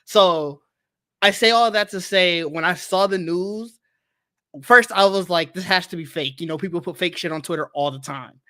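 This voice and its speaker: A man's voice: high-pitched, moderate pace, pushed and bright. A man co-hosting a podcast, talking earnestly and reflectively in casual chat, trailing into fillers. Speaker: podcast co-host.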